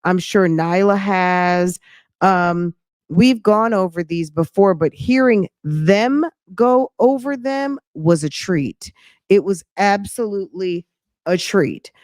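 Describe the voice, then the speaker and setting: A woman's voice: mid-range voice, animated, slow pace, a little mellow, no room sound. A woman hosting a casual commentary show, thinking aloud in a sassy, pointed way with rhetorical questions and emphatic repetition.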